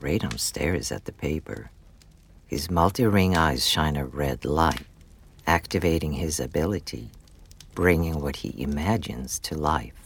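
A man narrating a scripted audio drama in the present tense, in a measured, dramatic storytelling manner. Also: rumbling low, animated, a little hiss or hum.

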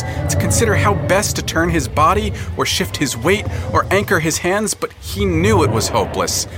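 A man giving scripted, literary narration for an audio drama in a dramatic, expressive manner. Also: fairly high pitch.

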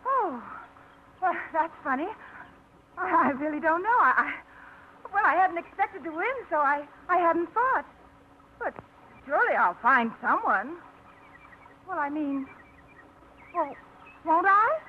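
Flustered fisherwoman, breathy, bright pitch, faint hiss, 1950s radio play. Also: lively intonation, unhurried, pauses often. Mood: hesitant. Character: flustered middle-aged woman angler, prim and nervous. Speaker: lead actress. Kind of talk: 1950s radio drama acting. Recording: dull old radio broadcast.

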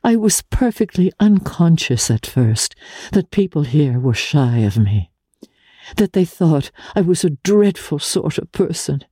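A woman voicing a dignified, sorrowful married woman renouncing her lover in a dramatic audiobook, with measured, formal earnestness. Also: deep voice, very animated, warm tone, husky, no room sound, clean studio sound, heavily acted. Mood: earnest confession. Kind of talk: dramatic literary audiobook reading.

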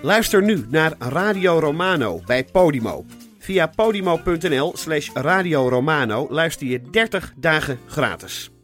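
A man's voice: a little high, expressive, unhurried, fairly bright tone. Guy doing a scripted podcast ad, really up and down voice, some music under it. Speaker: podcast promo presenter. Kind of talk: scripted advertisement narration.

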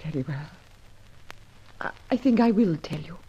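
A husky, troubled young widow. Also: female voice, deep voice, lively intonation, mellow, heavily acted. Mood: sorrowful. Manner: emotional, halting, defensive. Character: troubled young war widow, proud, guarded, desperate. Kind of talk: old radio melodrama acting. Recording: muffled, lo-fi old radio recording.

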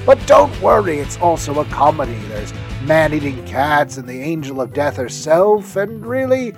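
A chatty podcast pitchman acted by a man rambles through a promotional recommendation in a fairly high male voice. He is excitable and playfully emphatic, interrupting himself and swinging widely in pitch, with light music underneath. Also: normal speed. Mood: chirpy, rambling. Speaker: podcast host giving a recommendation. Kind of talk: promotional monologue.

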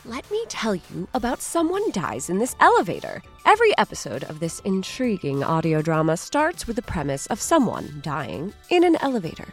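Playful woman podcast host, hugely animated pitch. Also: moderate pace, hardly any pauses, slightly rough, soft background music. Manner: playful, theatrical. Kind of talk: scripted promo narration.

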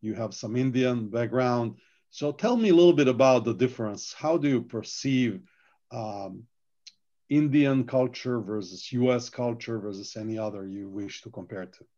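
A man's voice: medium pitch, moderate pace, mellow, dull audio. A man from Serbia interviewing a guest, asking friendly, curious questions. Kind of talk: interview conversation.

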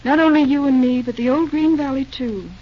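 An actress playing a sweet, teasing young bride-to-be in an old-time radio drama, genteel and expressive, with a gently strong will. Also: female voice, sits high, brisk, lightly acted. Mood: dreamy delight. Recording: dull, noisy vintage radio recording.

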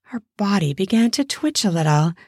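A woman narrating a scripted erotic story in an intimate, deliberate manner with drawn-out phrasing. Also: medium pitch, animated, darkish tone, dry recording, clean studio sound.

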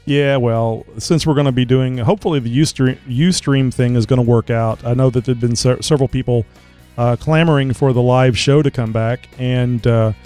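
A man's voice: medium pitch, fairly even intonation, moderate pace, dark tone, soft background music. A man hosting a casual chat show, rambling with lots of filler words, his voice a little crackly as he recovers from a cold. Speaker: podcast host.

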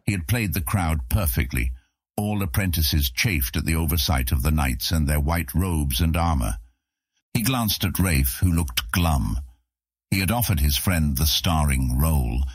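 Male audiobook narrator for fantasy fiction: bass-deep, raspy texture, very dry recording. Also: dry recording. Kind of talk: scripted fantasy story narration.

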